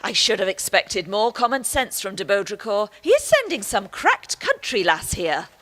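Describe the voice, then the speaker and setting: A woman's voice: mid-range voice, big pitch swings, normal speed, a bit bright. A woman acting a stern, superior archbishop in a radio play, with a haughty, lecturing manner. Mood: disdainful. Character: stern, pious, superior archbishop, scolding and dignified. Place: actor's home, recorded remotely.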